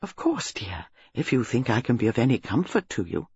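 A reader voicing Miss Marple, a prim, gentle elderly English spinster who speaks mildly and hesitantly. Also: mid-range voice, sing-song, a little fast, husky, highs cut off, voice pushed down, heavily acted.

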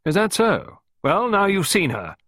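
A man voicing a robust, blunt woman gardener in an audiobook, with a hearty, brisk manner. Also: high-pitched, huge pitch swings, fairly bright tone, no room sound, clean studio sound, voice pushed up, exaggerated voice.

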